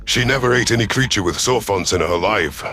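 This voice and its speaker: A man voicing a solemn, remorseful reptilian alien predator with measured, formal speech in an audio sci-fi story. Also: fairly low pitch, pushed and bright, soft background music, pitched below the performer's own voice.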